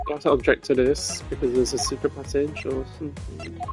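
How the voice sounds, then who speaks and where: A man's voice: medium pitch, level tone, fast talker, over music. A man streaming a video game, giving casual live commentary on his play.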